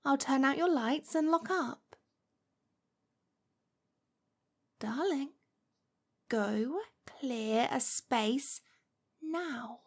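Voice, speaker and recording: A woman's voice: high voice, darkish tone, full character voice. A woman playing a lovestruck, possessive witch in an ASMR roleplay, cooing and coaxing with a condescending sweetness. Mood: sweetly commanding.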